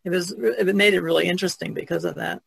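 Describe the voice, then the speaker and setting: A woman's voice: lowish voice, fast talker, vocal fry, husky, no echo. A woman chatting casually as a podcast guest, hesitant and understated in how she talks. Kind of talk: casual chat.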